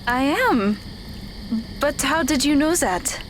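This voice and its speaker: A woman's voice: high voice, expressive, slow pace, noisy background. A woman acting in an audio drama as a weary, guarded runaway teenage magical girl who answers tersely. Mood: reserved.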